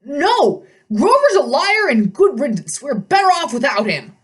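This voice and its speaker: A woman's voice: high-pitched, very animated, unhurried, recorded from a distance, pitched above the performer's own voice. A woman voicing a very old, fat male satyr, pompous and indignant, blustering and stammering as she reads a storybook aloud. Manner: huffy, blustering, stammering when flustered.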